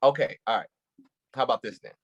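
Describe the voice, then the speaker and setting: An actor in a staged reading playing a laid-back male police officer with a husky voice, bantering with a friend. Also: mid-range voice, quick pace, dry recording, muffled recording, natural voice. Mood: easygoing.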